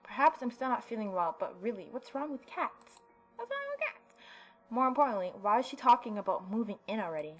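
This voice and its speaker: A woman let's player narrating a visual novel and adding playful, expressive casual commentary. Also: fairly high pitch, brisk, faint music behind, rough recording. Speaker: let's player reading and reacting.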